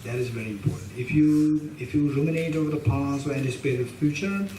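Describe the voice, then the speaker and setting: A man's voice: fairly high pitch, fast, warm tone. A middle-aged man with a Tibetan accent, a Buddhist teacher leading a class, speaking emphatically and often repeating and restarting phrases. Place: meditation hall with shrine, indoors. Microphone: stand mic in front of him. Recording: roomy hall sound, mic a bit distant, faint hiss.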